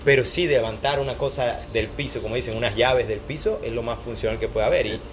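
A man's voice: medium pitch, a little fast. A man hosting a casual conversational interview. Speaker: podcast host. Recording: clearly audible background noise.

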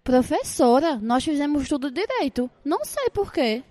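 A woman playing an animated, anxious nursing student. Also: high-pitched, normal speed. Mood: defensive. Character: hurried, anxious young female nursing student. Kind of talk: amateur audio drama acting.

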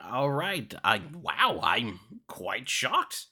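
A man playing a tabletop game in character, speaking in a mock-courtly, gentlemanly manner. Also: medium pitch, sing-song, drawn-out words, husky.

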